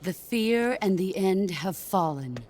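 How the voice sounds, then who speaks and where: An actress playing a legendary female military boss in a video game drama, speaking with calm, grave authority. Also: medium pitch, takes it slowly, heavy background noise.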